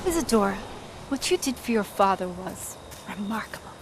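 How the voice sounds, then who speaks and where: A woman's voice: mid-range voice, expressive, moderate pace, background noise, close to an everyday voice. A woman playing a principled, worried witch mentor in a game cutscene, grave and cautioning. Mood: guarded concern. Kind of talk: dramatic game cutscene acting.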